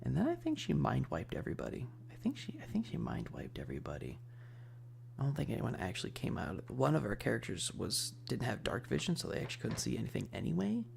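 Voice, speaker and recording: Male ASMR streamer, very gravelly fry, hushed rambling chat, animated intonation, slight background hum. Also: mid-range voice, fast talker. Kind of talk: casual ASMR livestream chat.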